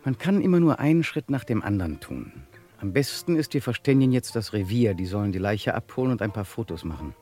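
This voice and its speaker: An actor playing a methodical police detective in a German crime radio play, terse and commanding. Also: male voice, on the low side, sing-song, fast talker, mellow, soft background music. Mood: composed, businesslike. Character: methodical, insistent male police detective leading a murder case.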